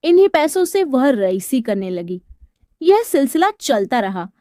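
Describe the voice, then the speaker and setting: A woman's voice: sits high, big pitch swings, a little slow, mellow, dry recording. A woman narrating a scripted story in a dramatic storytelling style, recorded as a close voice-over.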